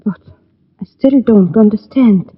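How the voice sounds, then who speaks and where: A woman's voice: mid-range voice, unhurried, breathy edge. An actress playing an anxious, puzzled sister of a missing man in a vintage radio drama. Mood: worried. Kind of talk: 1940s-50s radio drama acting. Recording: muffled vintage radio recording.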